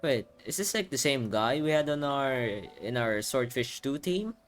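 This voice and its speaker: A man's voice: medium pitch, lively intonation, normal speed. A man giving casual, rambling video game playthrough commentary, thinking aloud as he hosts. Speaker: gaming video host.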